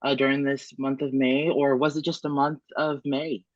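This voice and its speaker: A man from the United States talks on a video panel call in a middle pitch, stopping often with "um" and restarting his sentences. The call audio is dull and muffled and has a dry, close sound with no room echo. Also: flat delivery. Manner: halting, many "um"s and restarts. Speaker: community organizer, panelist.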